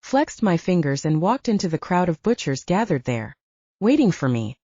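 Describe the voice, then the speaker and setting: Mellow, expressive woman narrating fiction. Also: lowish voice, normal speed, slightly rough, no room sound, muffled recording. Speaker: audiobook narrator. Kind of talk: scripted first-person fiction narration.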